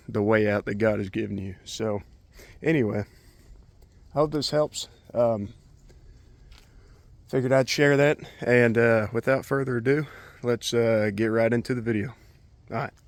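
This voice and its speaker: Man, mid-pitched voice, folksy, casual unscripted vlog chat, rambling, colloquial. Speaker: vlogger, DIY truck project host.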